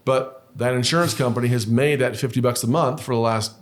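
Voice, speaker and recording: Man explaining insurance, calm and methodical. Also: medium pitch, fairly quick. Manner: patient, explains step by step with examples. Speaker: insurance broker co-host. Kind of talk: explanatory conversational chat.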